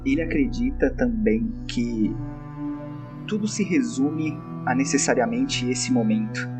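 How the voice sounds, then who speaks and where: A man's voice: medium pitch, animated, measured pace. A man playing a tabletop role-playing game, narrating his character's actions in a dramatic storytelling style. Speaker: role-play game player. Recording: clear music behind the voice.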